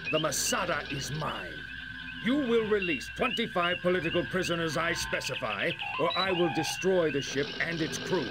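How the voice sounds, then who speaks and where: An actor playing a defiant, sardonic space pirate leader in a sci-fi game, with a taunting, menacing, theatrical delivery. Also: male voice, fairly high pitch, expressive, moderate pace, over loud music, close to an everyday voice. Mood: threatening, defiant. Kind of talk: scripted sci-fi game voice acting.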